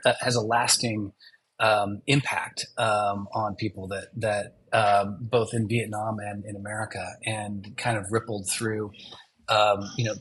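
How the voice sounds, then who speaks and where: A man in his fifties, a documentary director, answering interview questions haltingly with many restarts and fillers. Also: fairly low pitch, sharp tone, husky, poor audio.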